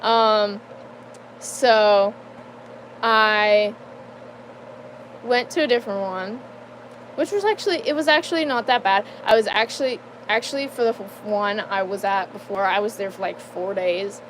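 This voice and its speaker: Slow, hesitant young woman vlogger. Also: mid-range voice, a little hiss or hum, rough recording. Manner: hesitant, halting, many fillers and restarts; nervous laughs between sentences. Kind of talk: casual personal life update.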